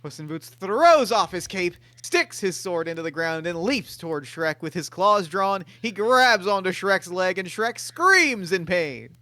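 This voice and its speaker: A man narrating the stage directions for a table read in a crisp, bright voice, fairly high for a man. He reads them quietly and matter-of-factly. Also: sing-song, measured pace, hardly any pauses, no echo. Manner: matter-of-fact, quiet reading.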